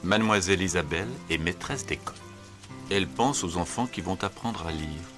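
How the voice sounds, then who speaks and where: A man narrating a children's cartoon tale in an expressive storybook manner. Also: low-pitched, a little fast, music in the background.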